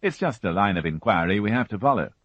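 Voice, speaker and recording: A man voicing a patient, weary male chief inspector who questions calmly and evenly, in a storybook reading. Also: on the low side, quick pace, dry recording, voice pushed up. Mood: questioning. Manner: calm, level questioning. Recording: dull, dry studio audiobook.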